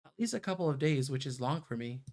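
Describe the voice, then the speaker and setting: A man's voice: medium pitch, animated, quick pace. A man streaming a visual novel, reading its narration aloud and adding casual asides.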